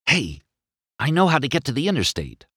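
A man's voice: mid-range voice, huge pitch swings, a little fast, pushed and bright, breathy edge, no room sound, clean studio sound, voice pushed up, heavily acted. A man voicing a brash, boastful and desperate male race car in a children's storybook. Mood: urgent pleading.

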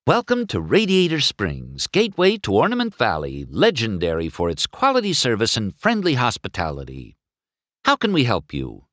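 A man's voice: mid-range voice, wildly swooping pitch, normal speed, fairly bright tone, no echo, clean studio sound, voice pushed up. A man voicing an eager, chirpy female cartoon car in a children's storybook, helpful and welcoming.

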